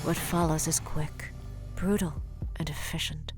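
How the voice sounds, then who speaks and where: A woman's voice: low-pitched, steady tone, a little slow, music in the background. A woman narrator delivering dramatic scripted narration for an audio story.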